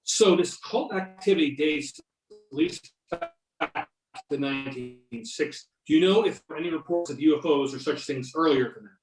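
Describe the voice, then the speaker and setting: A man's voice: on the high side, big pitch swings, moderate pace, vocal fry. A man playing a courteous, inquisitive investigator in an amateur readers theater, businesslike, recorded in a roomy echo. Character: courteous male investigator questioning witnesses. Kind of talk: amateur readers theater reading over videoconference.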